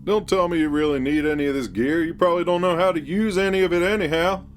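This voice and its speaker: Man acting a loud, high-pitched, slurring small-town thug. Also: fairly even intonation, fast talker, a little hiss or hum, natural voice. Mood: jeering, menacing. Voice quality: mushy, slurred. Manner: crowing, bellowing, rural slang. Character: drunk small-town thug, taunting and crude.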